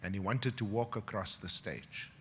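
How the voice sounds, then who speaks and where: A middle-aged man with a South African English accent giving a graduation speech as a university professor, a dramatic storyteller who swings from loud, wry punchlines to hushed, emotional moments. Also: on the low side, moderate pace, a little hiss or hum. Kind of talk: ceremonial speech with personal stories. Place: large graduation hall. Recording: long hall reverb from a ceremony PA.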